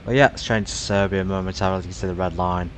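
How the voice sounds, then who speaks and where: A man's voice: low-pitched, fairly even intonation, fast talker, a little hiss or hum. Chatty man streaming a flight sim. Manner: chatty, musing aloud with frequent fillers. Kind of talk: casual live-stream commentary.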